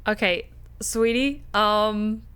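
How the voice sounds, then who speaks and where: A woman streamer talks her way through a story game, chatting with viewers in a wry, sarcastic tone. Her voice sits in an ordinary middle pitch. She is recorded close on a desk mic. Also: fairly even intonation, slow pace, fairly bright tone. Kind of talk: casual gameplay commentary.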